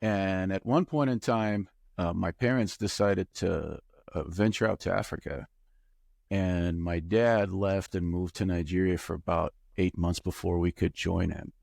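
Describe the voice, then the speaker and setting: A very low, gravelly male voice in his fifties tells his story in a relaxed, reflective way, often pausing with fillers. He is from Bulgaria and is a startup founder speaking as an interview guest. The sound is dry and close, with no room echo. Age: 50s. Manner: reflective, hesitant with many fillers.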